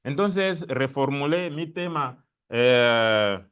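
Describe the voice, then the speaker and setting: Congolese man, doctoral student on a panel, smooth resonant voice, halting Spanish with many fillers. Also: mid-range voice, animated, fairly bright tone. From Democratic Republic of the Congo. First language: not Spanish; spoke Italian for years before. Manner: hesitant, many fillers and restarts. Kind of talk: academic panel talk. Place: lecture room at a university event.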